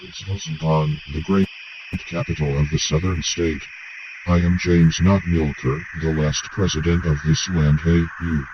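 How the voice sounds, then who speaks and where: A man voicing a tall, rustic old male chieftain who bellows gruffly in a story reading. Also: extremely low pitch, little pitch movement, a little slow, pitched below the performer's own voice. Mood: proud. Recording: dull lo-fi with hiss.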